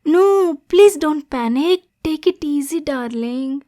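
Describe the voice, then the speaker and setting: Woman as lovesick demon girl, high-pitched, unhurried, anxious, stammering, coaxing, ASMR roleplay. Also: animated, warm tone. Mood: soothing, anxious. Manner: stammering, pleading, coaxing endearments.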